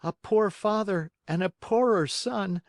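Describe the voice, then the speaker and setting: A man voicing an eager young wooden marionette boy with a bright, earnest voice. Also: high-pitched, a little slow, dry recording, studio quality, pitched above the performer's own voice.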